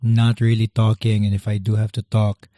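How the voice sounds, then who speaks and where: A man, hosting a podcast while losing his voice, speaking quietly and hesitantly. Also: lowish voice, completely flat delivery, moderate pace, no echo, clean studio sound. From Philippines. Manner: quiet, hesitant, many restarts. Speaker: podcast host. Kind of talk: casual solo podcast intro.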